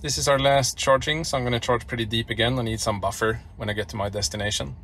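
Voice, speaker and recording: A man's voice: medium pitch, fast. A man from Sweden chatting casually while he drives, with lots of ums and you-knows. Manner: relaxed and chatty, with frequent "uh" and "you know". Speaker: vlogger. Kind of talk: casual road-trip commentary while driving. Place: inside a moving car. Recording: loud road noise in the car. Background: road and engine noise.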